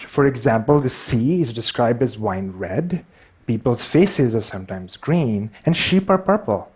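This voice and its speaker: A man's voice: medium pitch, lively intonation, unhurried, a little mellow, breathy edge. A man with a Pakistani accent tells personal stories as a public speaker, in a self-deprecating manner that halts in places. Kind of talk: personal storytelling talk to an audience.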